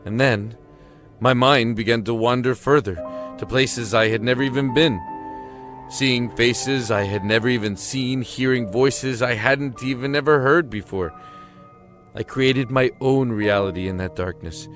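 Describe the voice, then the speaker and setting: A man narrating a scripted first-person dramatic story with deliberate, somber phrasing. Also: medium pitch, a bit bright. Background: music.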